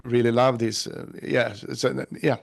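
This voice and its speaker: Gravelly Swedish man, halting speech. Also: mid-range voice, flat delivery. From Sweden. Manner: halting, many restarts and repeated words. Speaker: actor guest. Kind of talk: casual interview answers.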